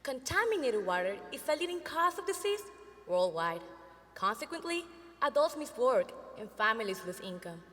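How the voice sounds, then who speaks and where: Woman from el salvador doing a rehearsed team pitch, bright and a bit high, echoey hall. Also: very animated. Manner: clear, measured delivery of prepared lines. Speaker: student presenter. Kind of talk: rehearsed competition presentation.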